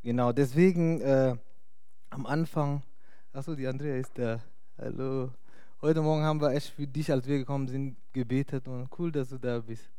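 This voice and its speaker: A man's voice: medium pitch, fairly even intonation, moderate pace, dark tone, clear, resonant tone, dry recording, clean studio sound. A young man preaching informally in German, a non-native speaker who talks hesitantly with many fillers. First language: not German. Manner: chatty and hesitant, many fillers, laughs often. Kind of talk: informal sermon.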